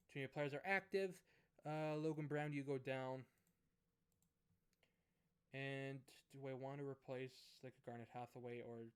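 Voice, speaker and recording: Man, hoarse, gaming commentary, musing, unhurried, middle pitch. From Canada. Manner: musing aloud, unhurried, thinking through choices. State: hoarse, losing his voice. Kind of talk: let's play commentary of a management sim.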